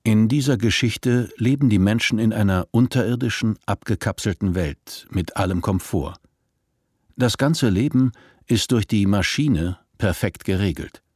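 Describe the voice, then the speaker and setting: Husky guy narrating a radio play, dry close sound, scripted. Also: on the low side, a little mellow, no room sound. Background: faint music.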